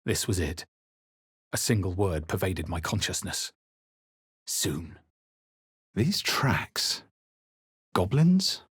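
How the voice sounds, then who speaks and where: A man playing a wary, resolute fantasy adventurer in video game voice lines, with a measured, theatrical delivery. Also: deep voice, big pitch swings, a bit bright, husky, dry recording, clean studio sound, full character voice. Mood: wary, musing. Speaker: player character voice. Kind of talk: scripted video game voice lines, dramatic narration.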